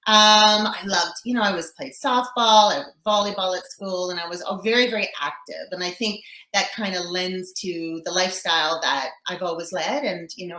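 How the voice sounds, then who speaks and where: A woman's voice: mid-range voice, pushed and bright, echoey room, rough recording. A woman from Canada in her forties, a guest telling her story in a chatty, upbeat interview with lots of fillers. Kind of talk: casual interview chat.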